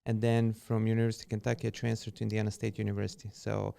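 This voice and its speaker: Relaxed, gravelly-voiced man from Serbia. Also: fairly low pitch. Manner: relaxed, unhurried with frequent fillers. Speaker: podcast guest, real estate agent. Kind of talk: casual interview conversation.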